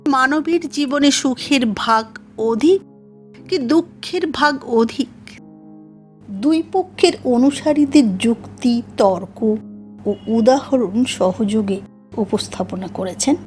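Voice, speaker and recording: A woman narrating a formal literary essay in a measured, scripted audiobook style. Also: sits high, soft background music.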